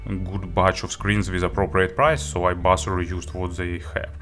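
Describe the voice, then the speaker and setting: A man presenting a product review, walking through features item by item in halting, non-native phrasing. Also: sits low, level tone, fairly bright tone, faint music behind. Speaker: audio gear reviewer.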